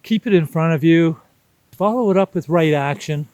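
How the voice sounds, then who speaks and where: A man, speaking a reflective personal monologue in an unhurried, contemplative way with deliberate pauses. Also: a little high, darkish tone, dry recording. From Canada. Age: 60s. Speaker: vlog host.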